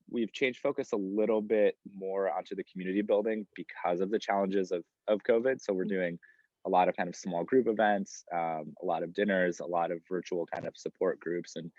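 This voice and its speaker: A young man from Chicago, co-president of a student club, speaking in a measured, conversational way on an online panel. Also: deep voice, a little mellow, no room sound, lo-fi sound.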